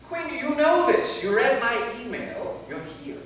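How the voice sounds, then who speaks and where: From far off in a reverberant hall, a man acts out an exasperated centuries-old male vampire. His put-upon delivery swings widely in pitch as he reads a comedy on stage. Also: big pitch swings, a little slow, fairly bright tone, heavily acted. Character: exasperated immortal male vampire from the Middle Ages. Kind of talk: staged reading of a comedy play. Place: theater, recorded from a distance. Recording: echoey hall, far mic, faint noise.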